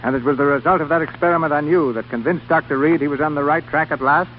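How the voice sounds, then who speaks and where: A dull old radio broadcast with slight noise. A man with a slightly high voice presents as host, formal and declamatory, in 1930s scripted narration style. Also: steady tone, fast.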